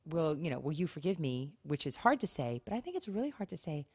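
A woman's voice: low voice, very animated, quick pace, darkish tone, husky, no echo. A woman co-hosting a podcast, giving advice in casual conversation with her co-host.

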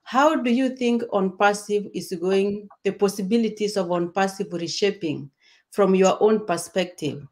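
A woman's voice: mid-range voice, darkish tone. Upbeat Kenyan-born woman hosting a call. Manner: upbeat, quick explanatory talk. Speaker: host. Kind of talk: video call interview. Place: home room. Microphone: webcam on video call.

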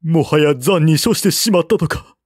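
A man's voice: a little high, normal speed, slightly rough, dry recording, studio quality, voice pushed up. A man playing an emotional, impulsive warlord king in a storybook, with dramatic, archaic lordly speech. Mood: grieving.

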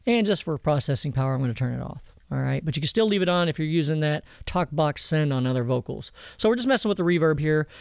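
A man giving a casual software tutorial, explaining informally and directly to viewers. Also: fairly high pitch, lively intonation, quick pace, vocal fry, hardly any pauses, smooth, no room sound. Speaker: audio mixing instructor. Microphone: close voice-over mic over screen recording.

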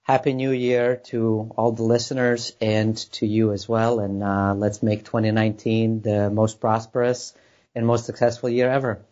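A man with a Serbian accent and a fairly low voice talks as a guest on a podcast. He sounds upbeat and earnest, and the audio is dull and lo-fi. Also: level tone, moderate pace, darkish tone. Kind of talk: casual podcast interview.

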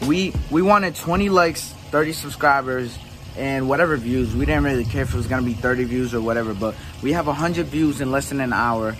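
A man's voice: medium pitch, fairly quick, music in the background. A young man chatting casually into a phone at arm's length by a backyard pool, loose and full of slang. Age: young adult. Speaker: vlogger. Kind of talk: casual vlog chat. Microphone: phone held at arm's length.

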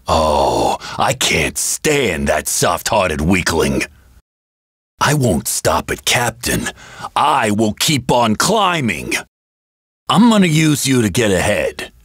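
A ruthless, ambitious brute of a video game captain. His voice is husky and gravelly, swinging hugely in pitch. He drags his words out with menacing swagger. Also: big pitch swings, fairly bright tone, no echo, studio quality, full character voice. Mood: menacing, boastful. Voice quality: gravelly, rough. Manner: menacing, swaggering, slow delivery. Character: ambitious brutish axe-wielding male captain, bullying and ruthless. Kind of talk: video game character voice clips.

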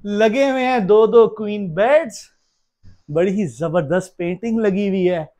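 A high-voiced man in his thirties hosts a casual travel vlog. He talks like a showman walking viewers through a place, animated and quick to exclaim. The delivery is informal and addressed straight to the audience. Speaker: travel vlogger. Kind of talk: casual vlog tour narration. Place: outdoors on a wooded road, then inside a cottage.

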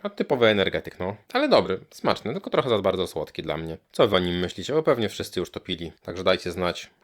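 A man's voice: low voice, very animated, quick pace. A man with a Polish accent giving a casual, plain-spoken product review as a channel host.

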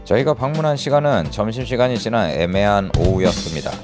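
A man's voice: fairly low pitch, fast talker. A man hosting a food review vlog with scripted voice-over narration. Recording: loud music behind the voice. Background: music.

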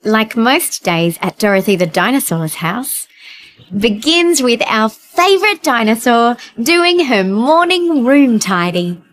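Woman, children's TV narrator, fairly high, very animated, gentle, scripted storytelling. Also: slow.

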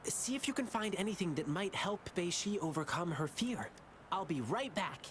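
Earnest, lively young lion dancer boy. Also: high-pitched, moderate pace, slight background noise. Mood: flustered. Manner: earnest, polite, encouraging. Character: earnest, kindly youthful lion dancer boy, eager to help. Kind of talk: English game voice acting.

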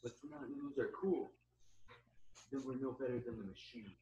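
A man acting a vain, slow-witted male supermodel, solemn, subdued and melodramatic, in a table read over a video call. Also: mid-range voice, mellow, breathy edge. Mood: earnest. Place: home, remote video call. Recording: muffled, lo-fi video call audio.

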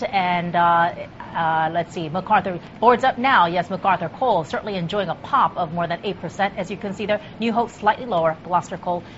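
A woman's voice: on the low side, fairly bright tone. News anchor lady, reads stocks then kinda stumbles with uhs, slightly dull hissy audio. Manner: reads scripted news, then hesitant ad-lib with fillers.

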